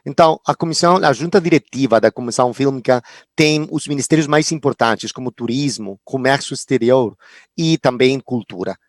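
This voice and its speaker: Spanish-accented man, halting panel talk. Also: mid-range voice, no room sound, clean studio sound. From Costa Rica. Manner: halting, searching for words, many restarts. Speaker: film commission head.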